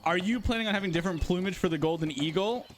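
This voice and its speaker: A man's voice: high-pitched, fast talker, slight background noise. A man hosting a casual live stream for a game studio, chatting and answering viewers' questions. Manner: chatty, rambling, talks to chat.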